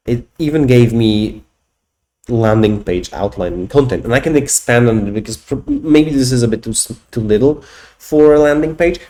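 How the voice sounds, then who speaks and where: A man's voice: on the low side, mellow. A man whose first language is Polish, in his thirties, casually walking through a screen demo and thinking aloud, with frequent restarts and fillers. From Poland. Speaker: tech video host. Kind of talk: casual screen-share walkthrough.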